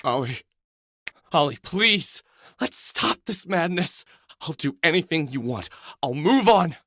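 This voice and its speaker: Casting: middle-aged game protagonist, obsessive and desperate, stammering, very lively intonation. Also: male voice, a little high, unhurried, no echo, heavily acted. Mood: panicked, pleading. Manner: stammering, pleading. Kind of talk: dramatic video game voice acting.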